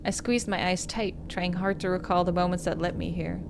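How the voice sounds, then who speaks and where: A woman's voice: lowish voice, level tone, a little fast, creaky, background noise. A woman hosting a game playthrough, reading story narration aloud with casual asides. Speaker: gaming host.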